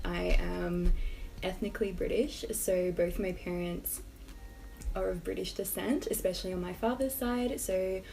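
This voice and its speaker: A woman's voice: medium pitch, creaky, dark tone, poor audio. A young Australian woman with an Australian accent, talking casually to camera in a rambling, chatty vlog. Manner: chatty, rambling, hedging with "I don't know". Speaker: vlogger.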